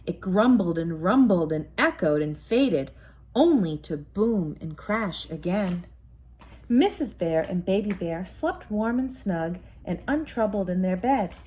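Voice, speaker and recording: Slow, expressive woman reading a bedtime story. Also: on the low side, husky. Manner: deliberate, dramatic emphasis on sound words. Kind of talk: expressive children's picture-book read-aloud. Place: room at home, indoors. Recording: noisy room, home recording.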